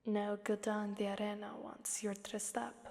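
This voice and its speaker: A woman voicing a haughty, sadistic female Krampus demon in an ASMR roleplay, soft and often whispering, taunting and commanding. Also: mid-range voice, completely flat delivery, unhurried. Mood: imperious, sadistic. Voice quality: soft, breathy whispering in many lines. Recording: long cavernous reverb.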